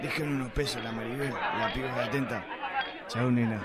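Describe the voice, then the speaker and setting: A man's voice: medium pitch, fairly even intonation, fairly quick, close to an everyday voice. A man playing a crude, boastful, sleazy police inspector in a comic radio drama, speaking Argentine slang. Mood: cocky, leering. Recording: live radio play with crowd noise.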